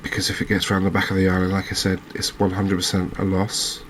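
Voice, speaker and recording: A man giving soft-spoken, calm and gentle ASMR commentary over a video game at an unhurried manner. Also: deep voice, slight background noise.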